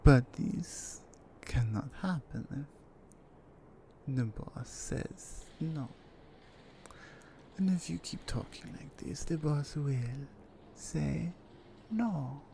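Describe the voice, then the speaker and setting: A menacing Russian crime-family woman, played by a female performer speaks in a mellow, gravelly voice with a Russian accent. She is unhurried, controlled and seductive, as in a close ASMR roleplay. Faint background noise sits behind her. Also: low voice, animated, exaggerated voice, rough recording. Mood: seductive, coaxing. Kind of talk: slow, intimate ASMR roleplay acting.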